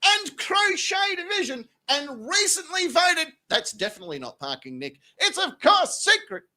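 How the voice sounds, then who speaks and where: Casting: male talk-show host, bright lively voice, crude and rowdy. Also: extremely high pitch, moderate pace. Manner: boisterous, profane, laughs often. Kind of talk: crude comedic banter and showy introductions. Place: studio.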